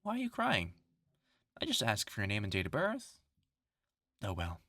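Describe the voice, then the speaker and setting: A vengeful male test subject playing doctor in a horror roleplay, acted by a man, with a sharp, animated voice. He is commanding and sardonic, in a mock-clinical bedside manner, recorded close and dry. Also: lowish voice, normal speed, dry recording, heavily acted. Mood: mock-clinical menace.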